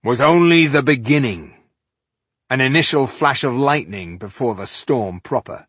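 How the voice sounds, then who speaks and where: A man's voice: mid-range voice, expressive, a little slow, fairly bright tone, breathy edge, no room sound, pitched above the performer's own voice. Man as a snarling, commanding dwarf warrior. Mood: dark, ominous. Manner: snarling, emphatic, scathing. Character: commanding, wrathful dangerous male dwarf warrior.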